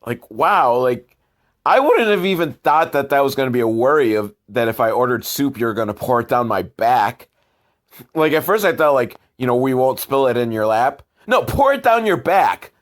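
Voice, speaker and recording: A man's voice: mid-range voice, very animated, moderate pace. Guy rambling wry comedy commentary about films, casual and sweary, host type. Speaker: host of a comedic film review show.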